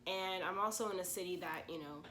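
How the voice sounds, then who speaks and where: A woman's voice: on the low side, a little fast, bright, forceful tone, a little hiss or hum. A young woman from Louisiana, chatting through a vlog while congested from a cold, with lots of "you know" asides. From Louisiana, USA. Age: 20s. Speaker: vlogger and pre-med gap-year student. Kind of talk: casual explanatory vlog talk.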